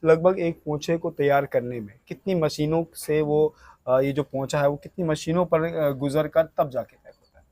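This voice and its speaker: High-pitched male field reporter, dry sound. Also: moderate pace, dark tone, dry recording. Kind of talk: on-location news interviewing with spoken explanation. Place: handloom workshop.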